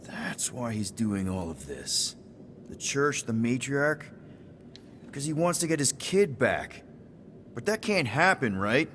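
A man's voice: medium pitch, very animated, a little slow, a bit bright, slight background noise. A man playing a young, exasperated space-outlaw leader in a video game, talking in a casual, wry way. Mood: wary. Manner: informal, wry. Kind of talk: conversational video game acting.

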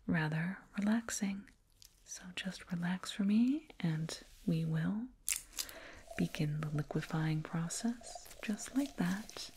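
A woman voicing a soothing, condescending alien dentist in a breathy whispered ASMR roleplay, close to a binaural microphone. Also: lowish voice, darkish tone. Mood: pleased, soothing. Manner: slow, soothing, murmured repetitions. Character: condescending, soothing alien dentist, fussing over a little human.

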